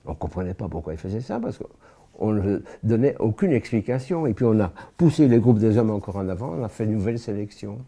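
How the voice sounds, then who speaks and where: A man, giving a personal testimony in a grave, measured way with frequent pauses and restarts. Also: lowish voice, very animated, dark tone. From born in France. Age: 80s.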